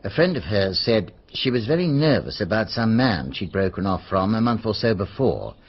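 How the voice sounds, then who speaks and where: A man playing a knowing, gossipy police veteran with an English accent and husky voice, colloquial and matter-of-fact in a radio drama. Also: lowish voice, very animated, moderate pace, heavily acted. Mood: wry, knowing. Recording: slightly dull radio drama audio.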